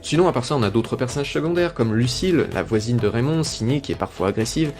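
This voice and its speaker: A man's voice: medium pitch, animated, fast talker, a little mellow. A man reading scripted narration for a video essay presenting cartoon characters.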